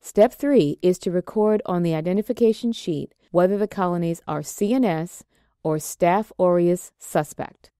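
A woman giving scripted, step-by-step instructional narration in a steady, measured way. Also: fairly low pitch, clean tone, no echo, studio quality. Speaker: extension educator.

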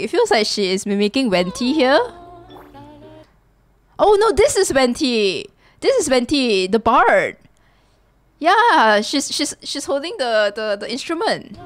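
High, animated young woman reacting. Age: young adult. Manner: animated, giggly, exclaiming. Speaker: reaction video host. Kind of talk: excited live reaction commentary. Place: small indoor room. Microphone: desk microphone close to her.

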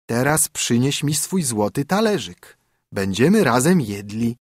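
A man voicing a persistent female frog who speaks slowly and coaxingly in a fairy tale. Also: mid-range voice, sing-song, husky, dry recording, studio quality. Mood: insistent. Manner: slow, drawn-out, coaxing.

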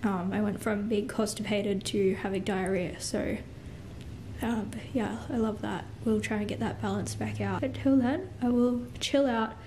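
A woman's voice: medium pitch, fairly even intonation, a little slow, a little mellow. Aussie girl in her 20s, blocked up nose, rambling casual vlog into her phone at home, little bit of room hiss. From Australia. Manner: chatty, frank, lots of "like" fillers. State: congested, stuffy nose. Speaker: vlogger. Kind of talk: casual vlog chat to camera. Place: home living room and kitchen, indoors. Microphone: phone camera at arm's length.

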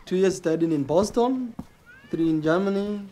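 An actor playing an educated Somali father who greets a guest with brisk courtesy. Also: high-pitched, warm tone, lightly acted. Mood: welcoming. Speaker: head of the family.

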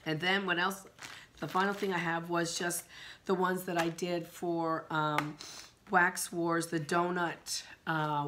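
Hoarse woman, rambling chat. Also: lowish voice, a bit bright, a little hiss or hum. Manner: rambling with many ums and restarts. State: hoarse from a sinus infection. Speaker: vlogger. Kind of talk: casual chat reviewing candles. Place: living room indoors.

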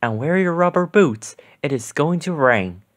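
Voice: medium pitch, lively intonation, normal speed, close to an everyday voice. A storybook reader voicing a caring, busy monster mommy. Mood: gentle, instructing.

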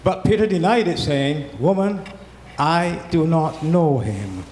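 A man giving a solemn, deliberate dramatic reading of scripture in a church. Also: fairly high pitch, expressive, darkish tone. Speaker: reader in a church Passion reading. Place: church, before a congregation. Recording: echoey church, clearly noisy background.

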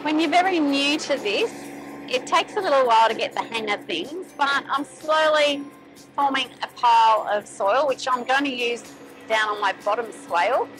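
A middle-aged woman narrating a farm vlog in a plain-spoken, unhurried explaining manner. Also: high voice, music in the background, low-quality recording. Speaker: vlogger, permaculture farmer.

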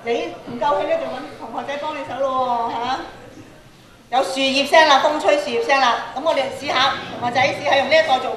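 High-voiced Hong Kong woman teaching in a hall. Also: fairly even intonation, fast, a crowd in the background. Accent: Hong Kong Cantonese. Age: middle-aged. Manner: instructive, prompting with questions and tag particles. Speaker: radio drama workshop speaker. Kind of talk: interactive live workshop talk to students. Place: large indoor auditorium, on and before the stage. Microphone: handheld microphone through PA. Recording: roomy auditorium PA sound.

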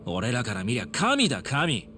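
An actor playing a cocky, mocking young punk livestreamer with slangy, taunting speech in a video game. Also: male voice, medium pitch, wildly swooping pitch, moderate pace, sharp tone, slight background noise. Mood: smug. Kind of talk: dramatic video game acting.

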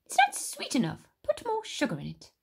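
A woman's voice: very high-pitched, wildly swooping pitch, moderate pace, vocal fry, background noise, voice pushed up, heavily acted. A woman doing a snappish, bossy old granny. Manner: snappish, bossy, relishing disgust. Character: tiny, cruel, grumbling old grandmother.

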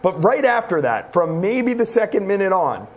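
A man's voice: sits high, sing-song, moderate pace. A man in his thirties hosting a soccer analysis show from a studio desk, wearing a lapel mic and recapping the match. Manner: leads the discussion, recaps stats. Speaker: show host.

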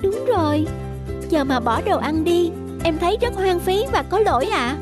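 Sweet, polite little schoolkid, very high childlike voice for animation, music underneath. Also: female voice, lively intonation, moderate pace. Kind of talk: children's cartoon dubbing. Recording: music behind the voice.

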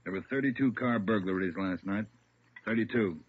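An actor playing a grim, brisk police captain in a vintage radio drama, authoritative, clipped and factual. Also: male voice, lowish voice, phone-quality sound, lightly acted. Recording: thin, hissy old radio recording.

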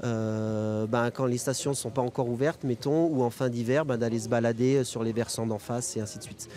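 Mellow-voiced young man in an interview. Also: medium pitch, steady tone, brisk. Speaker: guest freeride skier. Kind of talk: casual interview answers. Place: outdoors at a snowy ski resort event. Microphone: handheld reporter microphone.

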